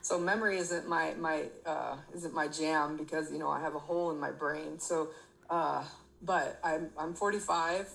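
A woman's voice: sits low, fairly even intonation, moderate pace, gravelly, fairly bright tone, rough recording. A woman in her forties from Michigan, talking as a podcast guest in a chatty, self-deprecating way and laughing easily. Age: 40s. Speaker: guest athlete. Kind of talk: casual podcast interview.

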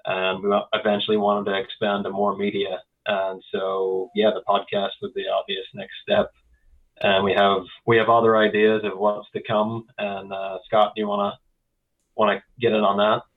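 Flat-voiced Northern Irish man on a tinny line. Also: on the low side, moderate pace. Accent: Northern Irish, softened by years in the US. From Northern Ireland. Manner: rambling, with many fillers and restarts. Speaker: podcast co-host, guest. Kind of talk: casual interview talk.

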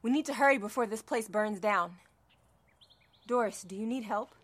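A woman playing a capable, bossy leader of zombie survivors in an audio drama, brisk and commanding. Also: medium pitch, fairly bright tone, natural voice.

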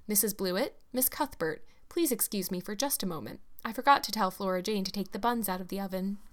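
A woman's voice: mid-range voice, close to an everyday voice. A woman reading aloud, voicing a bustling chatty middle-aged woman who fusses over her guests. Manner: fussy, quick to arrange everyone.

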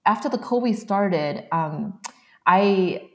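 A woman's voice: medium pitch, lively intonation, slow. A woman from northern China in her thirties, speaking as a guest in a casual interview, using many "um" fillers. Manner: frequent "um" fillers, soft laughs.

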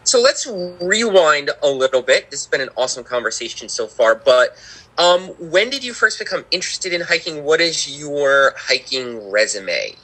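A man's voice: fairly high pitch, very animated, moderate pace, bright, forceful tone, slightly rough, rough recording. A man hosting a podcast, asking questions in a playful, sarcastic way. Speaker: podcast host. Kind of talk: casual podcast interview.

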